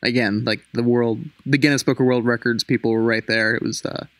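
A man's voice: medium pitch, little pitch movement, brisk, noisy background. A young man giving a mock-dramatic story reading, theatrical, breaking into casual wry asides. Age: young adult. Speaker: host reading own childhood story. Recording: music under the voice. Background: music.

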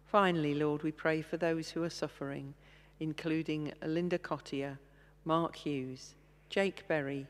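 A woman leading intercession prayers in a church service, reading slowly and steadily at a stand microphone. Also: sits low, steady tone. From England. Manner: slow, measured reading. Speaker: intercessions leader. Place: large church, indoors. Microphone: stand mic, far camera. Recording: long church reverb.

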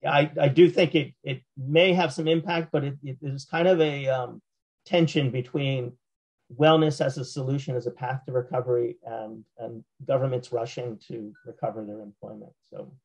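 Hoarse older man on a video call. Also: mid-range voice, fairly even intonation, darkish tone, highs cut off. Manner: measured, frequent restarts and repeated words. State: slightly hoarse, losing his voice. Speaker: panelist. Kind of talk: panel discussion answer. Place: small home office at night. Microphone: video call, laptop camera.

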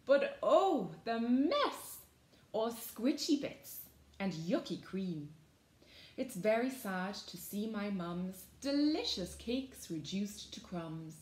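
A woman's voice: mid-range voice, lively intonation, slow, darkish tone. A woman voicing a distressed, fretful baker who exclaims dramatically in a storybook. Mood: dismayed. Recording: roomy echo.

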